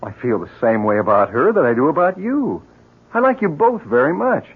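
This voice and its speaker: Husky, lively, reassuring family friend. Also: male voice, fairly high pitch, a little fast, full character voice. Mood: earnest, explaining. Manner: reassuring, conversational. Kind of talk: 1970s radio drama acting. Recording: muffled vintage radio broadcast.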